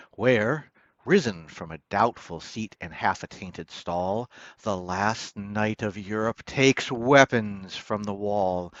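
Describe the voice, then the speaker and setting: A man recites verse with theatrical weight in a lowish voice. He takes it very slowly, declaiming with big swells and letting phrases sink away quietly. The recording is dry and slightly dull. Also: no room sound. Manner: declamatory, swelling from hushed line endings to loud proclamations. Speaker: poetry reader. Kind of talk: dramatic poetry recitation.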